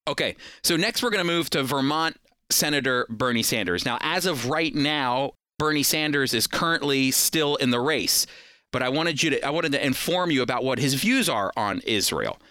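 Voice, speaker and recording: A man's voice: mid-range voice, lively intonation, normal speed, pushed and bright, dry recording, studio quality. A man hosting a program, giving scripted political commentary to listeners in an emphatic, explanatory manner.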